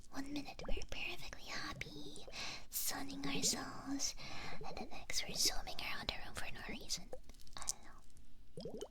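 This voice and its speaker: Female ASMR performer for curious, playful fox girl tech: hushed whisper, high-pitched, musing. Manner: musing, halting, thinking aloud. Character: playful, inquisitive fox girl computer repair technician.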